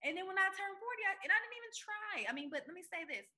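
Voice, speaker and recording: A woman in her forties, a guest entrepreneur telling her story in an emphatic, animated way on a podcast interview. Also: very high voice, racing. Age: 40s.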